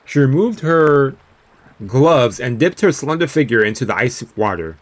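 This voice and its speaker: A man's voice: mid-range voice, expressive, moderate pace. A man live-streaming a read-aloud of a visual novel, stumbling over words now and then and dropping into casual asides. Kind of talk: live let's-read narration with casual reactions. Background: game music.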